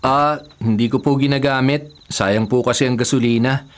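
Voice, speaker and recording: Man playing a polite, quietly exasperated young nephew. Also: mid-range voice, fairly even intonation, normal speed, noisy background, natural voice. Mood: polite, uneasy. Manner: deferential aloud, sardonic in asides. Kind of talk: Filipino radio drama acting.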